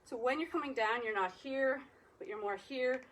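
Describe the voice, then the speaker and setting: A woman's voice: high voice, moderate pace, a little hiss or hum, rough recording. A woman with an Irish accent teaching a live exercise class, cueing each movement and counting reps with encouragement. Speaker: fitness instructor.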